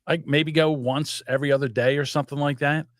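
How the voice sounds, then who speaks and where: A man's voice: a little high, quick pace, no room sound, studio quality. An older man, chatting casually and profanely as a podcast co-host, rambling through stories. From South Jersey. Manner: rambling, profane, animated storytelling. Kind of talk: casual chat.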